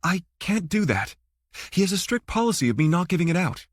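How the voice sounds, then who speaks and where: A man's voice: high voice, sing-song, a little fast, dry recording, clean studio sound, pitched above the performer's own voice. A man voicing a jittery, guarded and informal female office worker in an audiobook thriller. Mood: uneasy.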